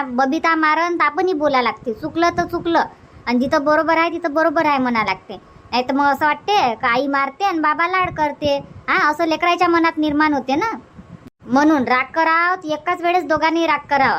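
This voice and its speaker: A woman's voice: high voice, fairly bright tone. A woman voicing a practical village grandmother in a cartoon, coaxing and advising in a rustic Varhadi Marathi accent. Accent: Varhadi Marathi, Vidarbha. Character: village grandmother, practical advice-giving mother. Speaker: cartoon character voice. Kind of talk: homely cartoon comedy dialogue.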